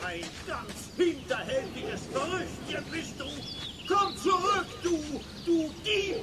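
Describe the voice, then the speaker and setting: A cranky, threatening eccentric from a spooky radio play. He scolds and orders in a very high, swooping voice, over clear background noise. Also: very animated, slow pace, full character voice. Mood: angry, threatening. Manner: stern, scolding, bossy. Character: cranky, threatening costumed man of a spooky house. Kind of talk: German children's radio play acting.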